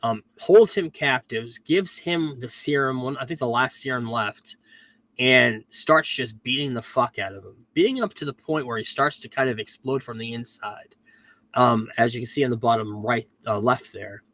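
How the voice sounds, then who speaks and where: Guy with a cold rambling thru a tv recap, um's everywhere, keeps correcting himself, mid pitch. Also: moderate pace, fairly bright tone, rough recording. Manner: rambling, self-correcting, frequent "um"s. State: getting over a cold, losing his voice. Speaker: podcast host reviewing a TV series. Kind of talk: casual recap chat.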